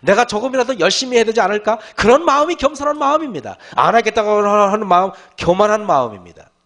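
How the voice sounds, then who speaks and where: A male pastor giving a sermon, with a hoarse voice and frequent throat clearing, often calling out to the congregation and asking rhetorical questions. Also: high voice, big pitch swings, quick pace, fairly bright tone.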